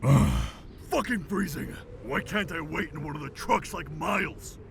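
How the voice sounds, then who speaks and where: A man's voice: fairly high pitch, very animated, a little slow, creaky, background noise, full character voice. An actor playing a grumbling, dim, foul-mouthed male henchman in an audio drama. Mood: whining.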